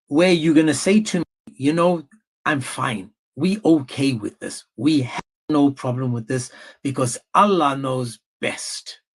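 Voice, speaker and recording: A man's voice: on the high side, breathy edge. A man hosting a livestream debate, goading his guest with pointed questions. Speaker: debate stream host.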